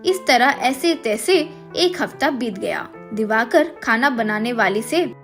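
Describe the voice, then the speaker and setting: A woman's voice: a little high, expressive, measured pace, fairly bright tone, faint music behind. A woman reading a scripted moral story as its narrator.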